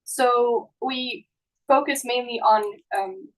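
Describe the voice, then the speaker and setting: A young woman from Slovakia giving unscripted answers as a student on a panel, often pausing mid-phrase. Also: a little high, fairly even intonation, low-quality recording. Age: young adult.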